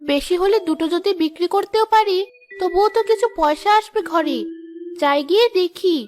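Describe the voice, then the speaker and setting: A woman's voice: very high-pitched, normal speed, a little mellow, faint music behind. A woman voicing a poor, devoted, worried young village mother in a cartoon, with a dramatic, exclaiming delivery. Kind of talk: Bengali cartoon voice acting.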